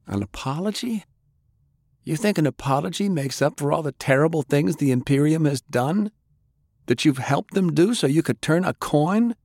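A man's voice: fairly high pitch, lively intonation, moderate pace, darkish tone, dry recording, studio quality, voice pushed up. A man voicing a furious righteous young man. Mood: scornful. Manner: intense, accusing. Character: angry young man with magic, righteous and bitter.

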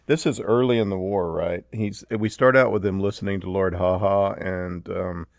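A man's voice: sits low, steady tone, moderate pace, gravelly, no echo, studio quality. A man hosting a panel conversation, asking questions in a casual, hesitant way. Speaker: interviewer.

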